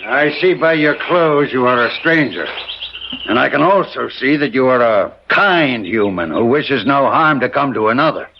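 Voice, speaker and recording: A man's voice: medium pitch, animated, moderate pace, a little hiss or hum, lightly acted. An actor playing a stern, bearded old settler father who whips his daughter, speaking in an archaic, preaching way in an old radio drama. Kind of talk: 1970s radio drama acting. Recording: muffled old radio recording.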